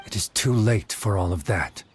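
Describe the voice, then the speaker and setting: An actor playing an earnest, dutiful young swordsmith in a video game, speaking formally and courteously. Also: male voice, on the low side, animated, moderate pace, slightly rough. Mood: stern, accepting.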